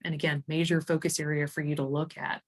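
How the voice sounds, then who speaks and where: Female presenter, low register, conversational software walkthrough, keen and explanatory. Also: level tone, fast talker. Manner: enthusiastic, informal, explanatory. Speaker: HR executive demonstrating software.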